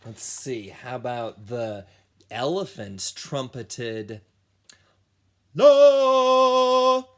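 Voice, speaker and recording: A man who teaches children music, reading a picture book aloud in a deliberate, animated storytelling style. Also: fairly low pitch. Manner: slow, deliberate storytelling with sung and imitated sounds.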